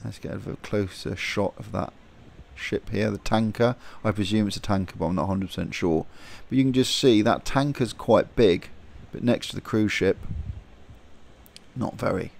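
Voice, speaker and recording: Man, lowish pitch with some fry; relaxed, chatty vlog host filming outside on a phone. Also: moderate pace. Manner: relaxed, chatty, thinking aloud with fillers. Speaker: vlogger. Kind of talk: casual vlog narration.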